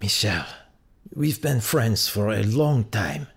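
A man's voice: lowish voice, sing-song, takes it slowly, creaky, heavily acted. A man acting in an audio drama as a loyal, insistent friend urging action. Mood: urgent.

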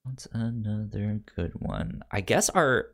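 A man's voice: on the low side, expressive, takes it slowly, fairly bright tone. A man hosting a podcast in casual review chat, rambling a little as he thinks aloud. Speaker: podcast host.

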